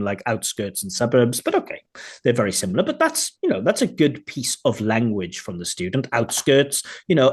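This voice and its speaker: A man teaching an online exam class, chatty and lively, acting out sample answers. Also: medium pitch. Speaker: IELTS teacher. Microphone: video call.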